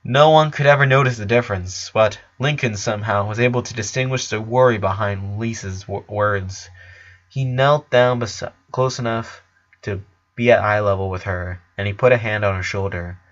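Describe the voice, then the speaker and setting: A man's voice: fairly low pitch, normal speed. Man narrating fiction, slightly muffled.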